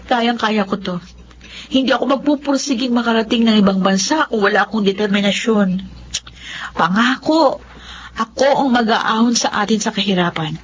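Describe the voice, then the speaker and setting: A woman's voice: a little high, moderate pace, muffled recording, a little hiss or hum, lightly acted. A woman playing a determined, hopeful young eldest daughter in a Filipino radio drama. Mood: hopeful, reassuring. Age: young adult.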